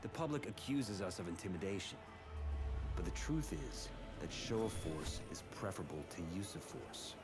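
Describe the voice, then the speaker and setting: A low-voiced, grim hardline cop. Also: male voice, expressive, gravelly, loud music behind the voice. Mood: grave, cold. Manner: solemn, deliberate, ominous. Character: grim, menacing hardline male police officer narrating his creed. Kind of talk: dramatic scripted cutscene monologue.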